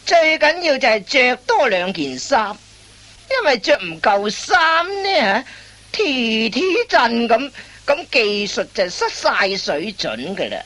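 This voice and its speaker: A woman playing a wily veteran master thief in a comic radio play, instructing in drawn-out phrases full of interjections. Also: a little high, very animated, fairly bright tone, heavily acted. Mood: fussy, advising.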